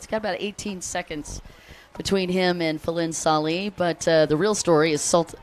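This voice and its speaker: A woman's voice: lowish voice, slight background noise. An American woman giving live race commentary, chatty and upbeat, calling out riders and greeting fans between lap updates. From United States.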